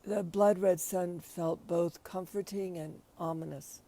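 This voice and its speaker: Raspy, gravelly, halting woman. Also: lowish voice, steady tone, mellow. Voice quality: raspy after covid. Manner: hesitant, halting, many restarts. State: short of breath at times. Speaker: livestream host. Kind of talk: casual spoken reflection.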